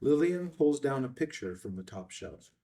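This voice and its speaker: A man's voice: medium pitch, big pitch swings, moderate pace, warm tone. A man reading a film script's stage directions as narrator, in a matter-of-fact way.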